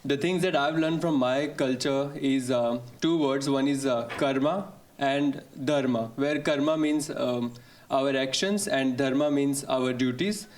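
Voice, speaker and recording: A man from India, a student presenter, talking fluently in a relaxed way with light fillers. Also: mid-range voice, unhurried.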